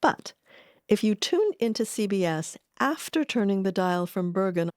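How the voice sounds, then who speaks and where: A woman reading scripted documentary narration in a measured, articulate way. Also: mid-range voice, sing-song, a little mellow, no room sound, clean studio sound.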